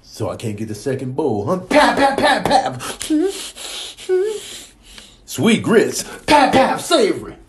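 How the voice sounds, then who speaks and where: Quizzical oddball, male comic: clipped questions, popping vocal gunshots, wildly varied pitch, noisy clip. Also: wildly swooping pitch, slow pace, long pauses, heavily acted.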